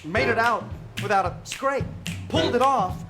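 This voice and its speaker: A woman's voice: a little high, moderate pace, natural voice. A woman playing an aging, swaggering male starship admiral who doubts himself, in a staged musical parody reading. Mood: wistful swagger. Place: live stage. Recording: live stage, music behind voice.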